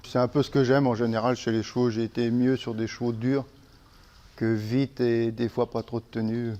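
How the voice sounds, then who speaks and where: Relaxed middle-aged male horse trainer. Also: medium pitch, dull audio. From Manche, Normandy, France. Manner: relaxed, halting with frequent restarts. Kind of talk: casual interview.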